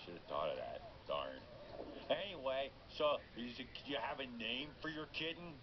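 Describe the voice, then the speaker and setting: A man voicing a nervous, literal-minded llama, awkward and friendly, halting and repeating himself, as a character on a children's radio phone-in. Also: medium pitch, sing-song, pitched below the performer's own voice, close to an everyday voice. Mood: sheepish, nervous. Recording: muffled, noisy re-recording off a speaker.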